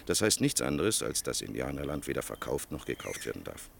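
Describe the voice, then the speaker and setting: A very deep, creaky older man's voice, playing a grave and weary official in a radio drama. He speaks in measured, sardonic phrases, over faint recording noise. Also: sing-song, full character voice. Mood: grave explaining. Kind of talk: German radio play acting, 1980.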